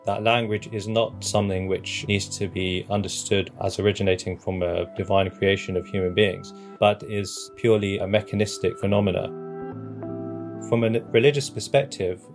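A man giving measured, reflective answers as an interviewed academic expert, in formal scholarly wording. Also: lowish voice, gravelly. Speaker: interviewed expert on religion and technology.

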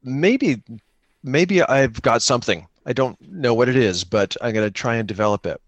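A man's voice: medium pitch, lively intonation, moderate pace, no room sound, lo-fi sound. A man in casual conversation, speaking earnestly and encouragingly with somewhat hesitant phrasing. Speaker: podcaster and guest. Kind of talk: casual chat about gaming.

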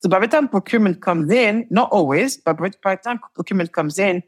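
Husky-voiced woman telling lively stories. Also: mid-range voice, fairly quick. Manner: animated storytelling, laughs often, repeats words. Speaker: entrepreneur guest. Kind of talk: conversational interview.

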